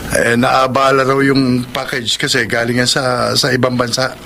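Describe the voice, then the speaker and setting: An actor playing a meek, evasive young husband who speaks hesitantly in a Tagalog radio drama. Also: male voice, mid-range voice, flat delivery, normal speed, vocal fry, pushed and bright, a little hiss or hum. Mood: nervous, evasive. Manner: hesitant, stammering.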